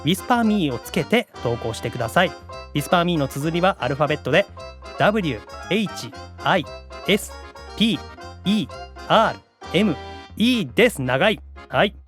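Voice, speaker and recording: A man's voice: on the high side, a little fast, faint music behind. A man with a Kansai accent hosting a podcast in a casual, playful, chatty way. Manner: playful, animated. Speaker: podcast host.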